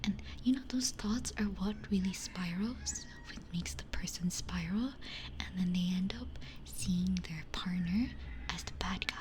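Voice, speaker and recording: A woman whispering casually to live viewers in an ASMR style, hushed and breathy, close on a binaural microphone. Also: on the low side, measured pace, slight background noise. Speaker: ASMR streamer.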